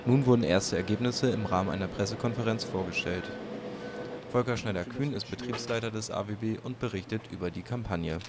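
A man's voice: on the low side, fairly quick. A man reading scripted narration for a news report. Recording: clear outdoor background noise under narration.

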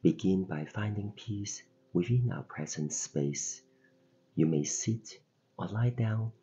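Very deep-voiced man guiding meditation slowly. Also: animated, husky, highs cut off. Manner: gentle, soothing, deliberate phrasing with pauses. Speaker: meditation guide and podcast host. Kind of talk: guided meditation narration.

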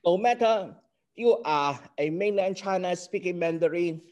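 Older hong kong guy lecturing on a video call, kinda high voice, keeps saying okay?. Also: a little slow, darkish tone. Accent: Hong Kong Cantonese. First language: Cantonese. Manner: earnest, explanatory, checks in with "okay?". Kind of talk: online class lecture.

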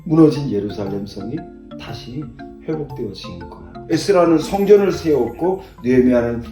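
Older male storyteller, grandfatherly pastor, animated and mellow, explaining simply to kids; echoey room. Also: mid-range voice, normal speed. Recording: roomy echo, distant mic, faint music.

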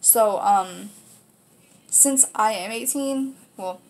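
A woman's voice: medium pitch, slow pace, a little mellow. Rambling young American woman, casual. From United States, Kansas. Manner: informal, rambling explanations with casual swearing. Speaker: video host. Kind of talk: casual vlog chat to camera. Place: small room indoors.

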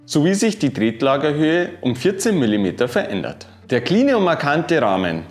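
A man in his thirties giving a scripted bike review, reading specifications in an even, informative way. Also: on the high side, normal speed. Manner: even, informative delivery dense with specs. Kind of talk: scripted product review narration. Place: wooden-walled workshop room, indoors. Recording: roomy sound with faint music.

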